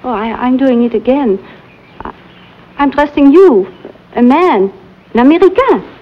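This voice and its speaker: A high, flustered young French teacher, breathy and fluttering, with a French accent. Her polite, anxious voice comes through a dull, lo-fi old soundtrack. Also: animated, a little slow. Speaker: actress in a western drama. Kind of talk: vintage television drama acting.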